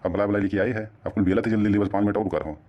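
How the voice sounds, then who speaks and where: A man playing a hurried, excuse-making village man in a comic cartoon, with a rustic Awadhi accent. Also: fairly low pitch, steady tone, close to an everyday voice. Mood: excusing. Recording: dull, band-limited sound.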